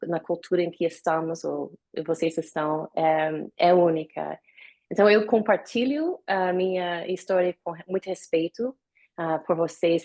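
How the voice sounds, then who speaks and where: A woman from the United States gives a live online talk in a language she learned later, pausing often with many fillers. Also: sits low, a little mellow. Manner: hesitant, with many fillers and pauses. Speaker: guest speaker. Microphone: video call.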